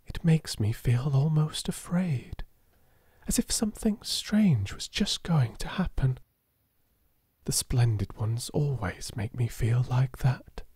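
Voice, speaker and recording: A man voicing a gentle, imaginative little girl in soft, breathy audiobook dialogue. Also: mid-range voice, expressive, slow, no room sound, clean studio sound, heavily acted. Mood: hushed awe. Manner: soft, breathy, half-whispered.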